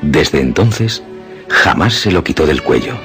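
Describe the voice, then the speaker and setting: A man narrating a scripted suspense radio play in a measured, dramatic literary manner. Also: rumbling low, animated, a bit bright, faint music behind.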